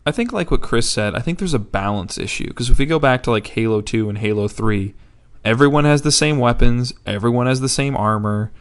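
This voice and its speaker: A man's voice: medium pitch, brisk, smooth. A man on a gaming podcast explaining his views in an easygoing, casual chat. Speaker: podcast co-host.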